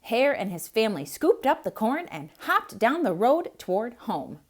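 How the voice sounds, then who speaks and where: A woman reading a picture book aloud to children, pausing to point things out and ask them questions. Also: on the high side. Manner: slow, expressive, asks children questions. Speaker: children's storyteller.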